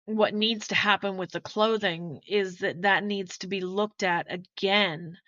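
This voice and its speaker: A woman podcast host talks through details in a measured, explanatory way. Her delivery is conversational, at a middling pitch. The sound is very dry and slightly dull, with little room in it. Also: fairly even intonation, fairly bright tone, dry recording. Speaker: true crime podcast host. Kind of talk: conversational explanation of forensic evidence.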